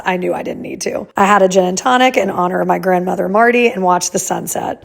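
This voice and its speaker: Female travel vlogger, American accent, medium pitch, enthusiastic and casual voice-over narration. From United States. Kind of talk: upbeat scripted vlog voice-over narration.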